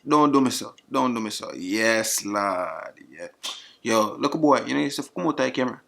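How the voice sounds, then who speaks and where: A man speaks in thick Jamaican patois over a webcam video chat, his voice gravelly with plenty of fry. He talks in a playful, flirty way, packed with slang. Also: mid-range voice, animated, normal speed, fairly bright tone, slight background noise. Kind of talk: random video chat banter. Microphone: webcam video call.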